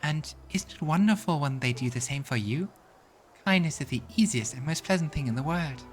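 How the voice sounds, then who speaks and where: A man voicing a frail young elf girl who preaches kindness in a gentle, delicate, earnest way for a fantasy game. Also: on the high side, lively intonation, brisk, voice pushed up, lightly acted. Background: game music.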